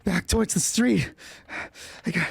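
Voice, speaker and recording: A man's voice: high voice, measured pace, creaky, husky, dry recording, full character voice. A man voicing a winded female soldier making urgent, strained reports in a tabletop roleplay. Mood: frantic, urgent. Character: winded female secret-service soldier, frantic on radio.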